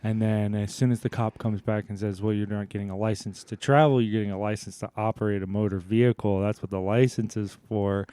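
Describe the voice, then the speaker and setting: A man in casual, comedic podcast conversation, riffing in a playful, deadpan way. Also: on the low side, brisk, few pauses, clean tone, no echo. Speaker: podcast co-host.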